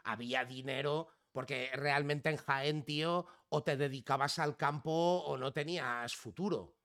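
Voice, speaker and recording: A man's voice: on the high side, measured pace. A man from Catalonia talking casually on a podcast, rambling and tagging his sentences with questions for the listener. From Catalonia, Spain (Girona). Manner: relaxed, rambling, peppers speech with "¿sabes?" and "tío", swears casually. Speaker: podcast conversation participant. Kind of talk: casual chat.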